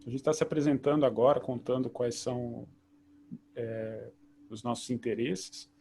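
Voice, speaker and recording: A man with a gravelly, creaky voice hosts an online meeting. He explains things in a loose, easygoing way. A faint hiss sits under his video-call audio. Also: mid-range voice, normal speed. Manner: relaxed, explanatory, loose phrasing. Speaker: host.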